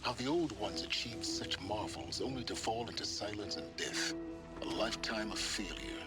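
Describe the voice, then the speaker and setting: An actor voicing a pompous, secretive older scholar in a video game, speaking in a measured, sardonic and eloquent way. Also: male voice, lowish voice, expressive, lightly acted. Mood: brooding, grand. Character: gruff, haughty, secretive older male scholar, impatient and imperious. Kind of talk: dramatic video game voice acting. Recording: loud game music behind the voice. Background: game music.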